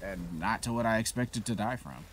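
A man's voice: on the low side, moderate pace. A man giving casual, profane gameplay commentary, griping in exasperation as he plays a shooter. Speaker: gaming video creator playing a shooter. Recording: clear game noise behind the voice. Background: shooter game sounds.

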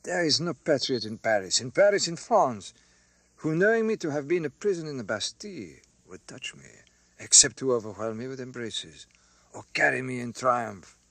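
Guy doing a bold old doctor in a storybook, calm and sure of himself, husky, lively pitch. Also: no echo. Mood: cool, proud. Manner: cool, confident, measured. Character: cool, bold old doctor, proud former prisoner.

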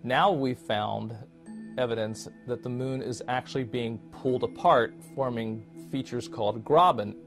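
A man's voice: mid-range voice, a little slow, soft background music. A middle-aged man explaining research findings as a scientist in an interview. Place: indoor office.

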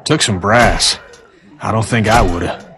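An actor playing a grieving, blunt survivor with a Southern US accent in a video game, gruff, folksy and halting. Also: male voice, on the low side, background noise. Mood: shaken.

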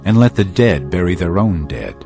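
Casting: grave, authoritative holy teacher for dramatised scripture; lowish voice, lively inflection, light music behind. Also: male voice, normal speed, creaky, exaggerated voice. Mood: firm, grave. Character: Jesus, solemn authoritative male teacher and healer. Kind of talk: dramatised audio Bible acting.

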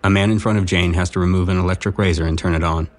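An actor playing a dry, matter-of-fact narrator of scenes in an audio drama. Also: male voice, low-pitched, steady tone, racing, close to an everyday voice.

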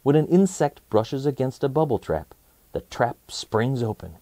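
A man in his thirties hosting a children's read-aloud, presenting nonfiction in a deliberate, emphatic storytelling way. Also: medium pitch, very animated, warm tone, dry recording.

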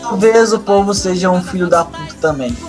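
Man acting a high-pitched, blunt campaign fixer. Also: moderate pace, soft background music, close to an everyday voice. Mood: resentful. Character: resentful, worldly male campaign fixer. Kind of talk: audio drama acting.

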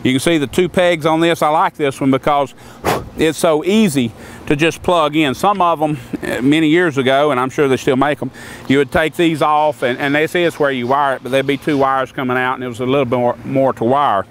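A man's voice: fairly high pitch, steady tone, brisk, slight background noise. An older man gives a plain-spoken, folksy walkthrough of sawmill equipment outdoors, often restarting his phrases. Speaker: sawmill maker explaining equipment. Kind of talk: casual outdoor equipment demonstration. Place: outdoors on a grassy lot beside a sawmill.

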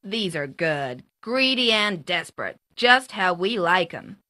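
An actress playing a gleefully cruel television production assistant in an audio drama, sly and gloating. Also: female voice, lowish voice, very animated, unhurried, bright, forceful tone, exaggerated voice.

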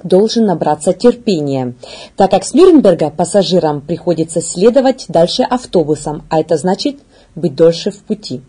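A woman in her thirties reads scripted news as a presenter. Her voice is soft-edged and husky, with a dark, relaxed tone. Her pitch moves up and down in a very lively way as she stresses key words. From Ukraine. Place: small indoor video studio.

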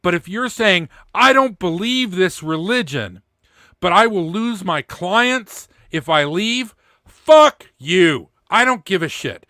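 Bright-voiced middle-aged man, blunt and indignant. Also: high-pitched, expressive, takes it slowly, no echo, studio quality. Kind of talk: opinionated unscripted commentary. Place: small indoor home studio. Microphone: close studio microphone with pop filter, headphones.

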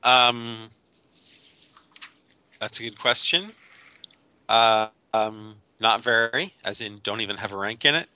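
A man's voice: on the low side, steady tone, slow, pauses often, pushed and bright, clean tone, no echo, highs cut off. A man playing a tabletop game over a video call, hesitant as he answers. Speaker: player. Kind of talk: casual tabletop game chat.

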